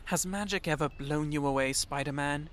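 A man's voice: fairly high pitch, moderate pace, a bit bright, a little hiss or hum, natural voice. A man voicing a smug, theatrical trickster god in a fan audio roleplay, with pompous, formal, taunting speech. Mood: taunting, menacing.

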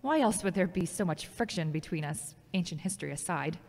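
A woman's voice: on the low side, expressive, fairly quick, a little mellow. A woman playing a touchy, ambitious grown daughter in an audio drama, speaking in a dry, defensive way. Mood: prickly. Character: Charity, touchy, ambitious grown daughter, radio station worker. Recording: long echoey reverb.

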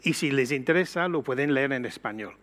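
An older man from Britain with an English accent and English as his first language, speaking measured and explanatory as a panel guest on an auditorium stage. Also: medium pitch, lively intonation, a bit bright. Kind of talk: panel discussion. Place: auditorium stage before an audience.

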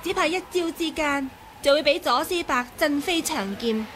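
A woman's voice: high voice, lively intonation, normal speed, a bit bright, slight background noise. An actress playing a bold, crafty young swordswoman in a Hong Kong Cantonese radio drama, with polite, artful manners. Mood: feigned humility. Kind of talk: 1980s radio drama acting. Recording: 1980 radio drama, busy sound-effects background.

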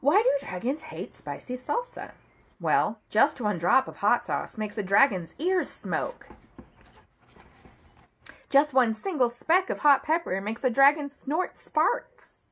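A woman in her thirties reads a picture book to children in a fairly high voice. Her pace is unhurried and her intonation swings widely, with theatrical emphasis on key words. It is an expressive home storytime delivery. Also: breathy edge. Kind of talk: animated read-aloud to children. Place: indoor room at home, seated at a table.

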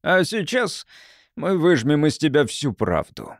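A man's voice: on the high side, sing-song, measured pace, no room sound, studio quality. A man voicing a stern, irascible monsignor who questions sharply and suspiciously in an audiobook. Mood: stern, accusing. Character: stern, irascible devil's advocate monsignor, suspicious male interrogator.

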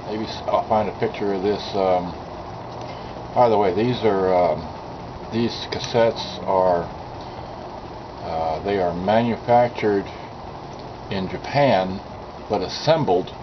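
A man with a lowish voice talks very slowly, rambling and hesitating as he unboxes something. The sound comes from a cheap camera's built-in mic, dull and lo-fi, with clear background noise from the outdoor spot. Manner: rambling, hesitant, many fillers and restarts. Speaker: vlogger unboxing cassette tapes. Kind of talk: casual unscripted unboxing commentary. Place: outdoor table by a sunny window. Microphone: built-in mic of a small digital camera.

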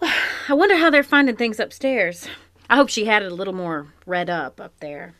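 Actress as a shrewd, sympathetic farm wife, plain rural speech, mid pitch. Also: female voice, expressive, normal speed, a bit bright, dry recording, close to an everyday voice. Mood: indignant. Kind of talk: live audio drama. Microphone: home closet mic, dry.

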